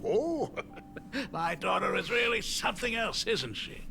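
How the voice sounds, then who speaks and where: A man's voice: high voice, sing-song, measured pace, vocal fry, bright, forceful tone, heavily acted. A man voicing an awkward, eager, apologetic middle-aged father in a video game, hesitant and effusive. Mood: delighted. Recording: music behind voice.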